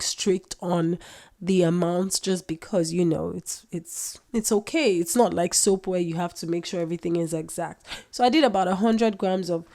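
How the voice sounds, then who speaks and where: A woman's voice: medium pitch, dark tone. A woman with a cold, hosting a casual tutorial vlog, chatty and rambling, often correcting herself. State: has a cold. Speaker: skincare maker, channel host.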